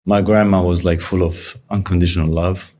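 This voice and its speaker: A young man who grew up in Cyprus, whose first language is Bulgarian, talking through his story in a relaxed, reflective way with lots of fillers. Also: low-pitched, moderate pace. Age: young adult. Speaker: guest. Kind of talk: casual interview conversation.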